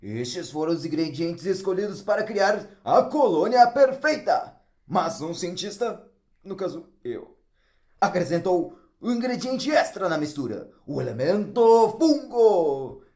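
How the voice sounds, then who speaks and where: A man's voice: high-pitched, sing-song, a little slow, dark tone. A man playing the pompous, theatrical ghost of a scientist, telling his story formally in an audio drama. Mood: grand. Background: music.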